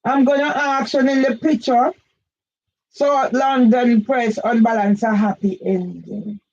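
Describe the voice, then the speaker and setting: An older woman with a Jamaican accent, delivering an agitated, repetitive monologue for her own channel that rises now and then into shouts. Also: on the high side, fairly even intonation, a little slow. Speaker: vlogger. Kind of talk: impassioned monologue. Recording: dull lo-fi audio.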